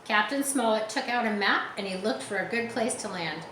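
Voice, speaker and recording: A woman's voice: medium pitch, animated, brisk, a bit bright. Woman reading a storybook, echoey room. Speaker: storybook narrator and reader. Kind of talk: storytelling read aloud with simple explanatory asides for children. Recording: echoey room with faint background noise.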